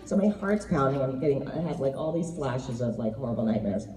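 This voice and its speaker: A woman in her thirties, slightly hoarse, answering fan questions at a convention panel with laughing, animated storytelling. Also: a bass voice, brisk, over a noisy crowd. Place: large convention hall with audience. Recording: roomy hall recording from far off.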